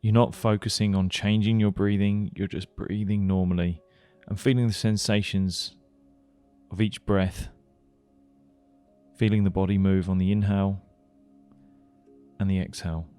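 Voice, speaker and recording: A man leading a guided meditation, giving gentle, unhurried instructions with pauses. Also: fairly low pitch, flat delivery, smooth, no echo. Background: music.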